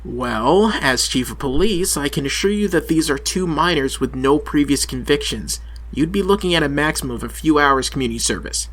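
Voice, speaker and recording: A man's voice: on the high side, animated, fairly quick, fairly bright tone, rough recording. An actor playing a small-town police chief and father, firm, curt and ready to swear. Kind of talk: audio drama acting.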